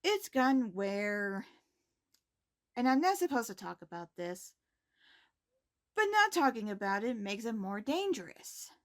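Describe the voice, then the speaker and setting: A woman playing a poised, caring high school principal, giving scripted announcements in a measured, formal manner. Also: very animated. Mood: earnest. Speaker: host reading school announcements.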